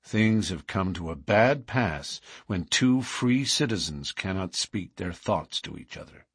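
A man's voice: fairly low pitch, sing-song, unhurried, husky, no echo, clean studio sound, heavily acted. A man voicing a cautious, bitter older man in an audiobook, hesitant and guarded with anguished outbursts. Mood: sad, bitter. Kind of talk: audiobook character dialogue.

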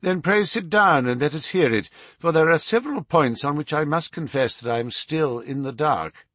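A man voicing a keen, commanding male detective in a storybook, with brisk, formal, authoritative phrasing. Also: a little high, animated, a bit bright, no room sound, studio quality, voice pushed up. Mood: inquiring.

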